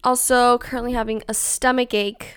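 A woman's voice: on the high side, level tone, normal speed, a bit bright, clean tone, no room sound, studio quality. A young woman hosting a casual solo podcast, chatty and exasperated, with lots of likes and ums. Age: young adult. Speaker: podcast host.

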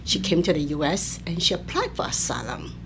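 An older woman from Malaysia, a professor being interviewed, explaining in a measured way with many fillers. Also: low-pitched, lively intonation. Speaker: anthropology professor, guest. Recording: faint ambient noise.

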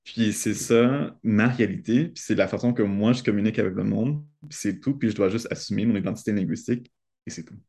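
A young man talks things through as a guest. His voice sits low and mellow, with a dark, soft tone. He speaks thoughtfully, with the odd light laugh. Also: brisk, slightly rough. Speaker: guest, doctoral student. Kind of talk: casual conversation.

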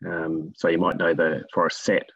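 A man with a New Zealand accent, a guest telling stories about his work in a relaxed, rambling way with many fillers. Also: deep voice, normal speed, husky, no echo, dull audio. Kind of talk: casual interview talk.

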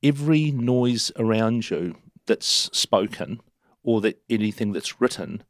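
Middle-aged New Zealand man, low voice, dry close sound; easygoing storyteller who restarts a lot. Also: expressive, unhurried, no echo. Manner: chatty, self-deprecating, many restarts. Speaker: interview guest. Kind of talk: relaxed storytelling.

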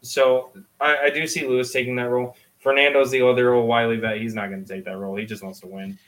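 A man's voice: medium pitch, little pitch movement, fast talker, fairly bright tone, low-quality recording. Chatty man, casual explainer. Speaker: sports podcast co-host. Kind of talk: casual motorsport discussion.

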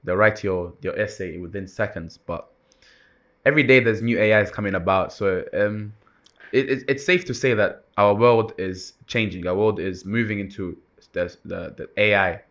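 A young university student co-hosting a casual chat, talking in a relaxed way with fillers and repeated words. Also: male voice, fairly low pitch, normal speed. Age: young adult. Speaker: co-host.

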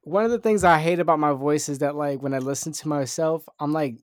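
A man's voice: fairly high pitch, fairly quick, no echo, clean studio sound. A young man hosting a podcast in loose, rambling casual chat, full of fillers. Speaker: podcast host.

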